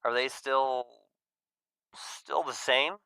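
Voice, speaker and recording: A man acts out a young male furry character from a visual novel. He is awkward and guilt-ridden, speaking haltingly in a smooth voice, recorded close and dry. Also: medium pitch, bright, forceful tone, dry recording, full character voice. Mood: hesitant, careful. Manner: hesitant, halting.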